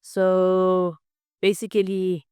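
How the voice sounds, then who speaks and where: A woman's voice: on the low side, monotone, very slow, warm tone, clear, resonant tone, no echo, clean studio sound. A young woman in her twenties from Zambia, answering interview questions in an earnest, explanatory way with frequent "um" and "basically". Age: 20s. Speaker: podcast guest, computer science student.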